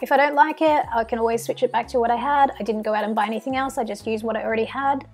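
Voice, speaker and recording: A woman's voice: on the high side, fairly even intonation, racing, a little mellow. Upbeat young Australian woman giving tips. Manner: friendly, practical, encouraging tips. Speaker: home cleaning vlogger. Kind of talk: voice-over narration of a cleaning video. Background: background music.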